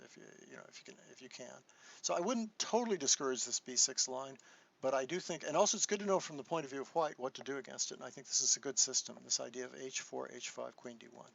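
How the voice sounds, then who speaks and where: A man teaching a live online chess lesson, soft-spoken and thinking aloud as he walks through moves, with frequent restarts. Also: mid-range voice, vocal fry, highs cut off. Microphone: desktop microphone.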